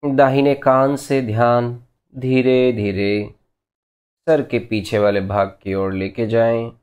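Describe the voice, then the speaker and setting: A man leading a guided meditation as a teacher, giving gentle, unhurried instructions in short phrases with long pauses between them. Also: mid-range voice, flat delivery, clear, resonant tone.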